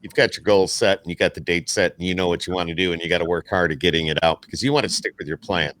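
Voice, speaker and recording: Low-voiced man hosting a podcast. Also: fairly even intonation, quick pace, fairly bright tone. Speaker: podcast host. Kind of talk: casual interview chat.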